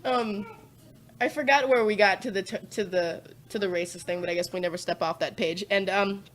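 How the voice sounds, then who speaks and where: A woman's voice: mid-range voice, fairly quick. A woman on a podcast as the guest, chatting casually and telling stories in a laid-back, profane way, with lots of fillers and laughs. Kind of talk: casual chat.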